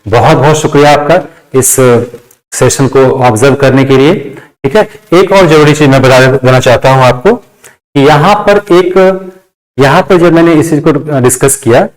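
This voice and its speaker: A male teacher with a somewhat high voice. He explains grammar step by step as if in a classroom. He often repeats his phrases and poses quick check questions before answering them. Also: low-quality recording.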